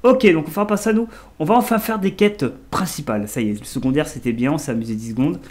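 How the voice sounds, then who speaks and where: A man's voice: on the high side, quick pace, a little mellow. Chatty man narrating his gameplay. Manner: chatty, rambling, self-correcting. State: stuffy, allergic sniffles. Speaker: gaming channel host. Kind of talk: let's play commentary.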